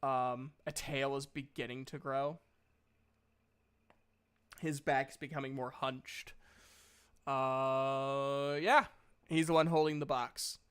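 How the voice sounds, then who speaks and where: A man running a tabletop game as game master, improvising descriptions haltingly as he searches for words. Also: medium pitch, little pitch movement, fairly bright tone, clear, resonant tone.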